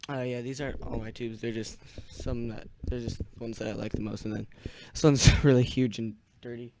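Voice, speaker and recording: A young man hosting an amateur radio net in casual, halting, easily distracted chat. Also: medium pitch, fairly even intonation, vocal fry, a crowd in the background.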